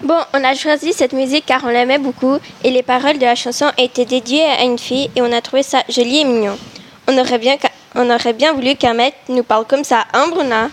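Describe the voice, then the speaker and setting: A woman hosting a student radio show, reading interview questions with frequent hesitant pauses. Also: high-pitched, steady tone.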